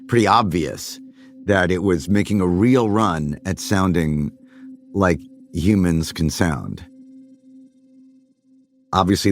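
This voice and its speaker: A middle-aged man, a voice actor, musing on his craft in a podcast monologue and slipping now and then into character voices. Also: sits low.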